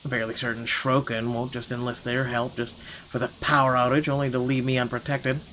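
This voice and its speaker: A man reading a visual novel aloud as a wealthy, irritable gentleman, expressive and indignant in his narration. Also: mid-range voice, fairly quick, slight background noise, close to an everyday voice, low-quality recording. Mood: wary, brooding. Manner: indignant, expressive, stumbles over the script. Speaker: gaming channel host.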